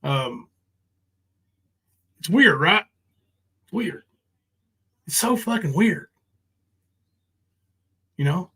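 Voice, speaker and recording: A middle-aged man with a somewhat high voice talks to his livestream audience. He is loose and informal, speaking off the cuff with rough, sweary language. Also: animated, very slow, pauses often, pushed and bright. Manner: informal, foul-mouthed. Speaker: livestream host. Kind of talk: casual livestream chat.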